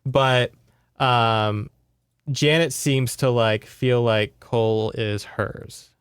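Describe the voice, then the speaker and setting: A man's voice: mid-range voice, fairly even intonation, very slow, clean tone, no room sound, studio quality. A man co-hosting a podcast, retelling a book in a casual, informal, chatty way. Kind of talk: casual conversational book recap.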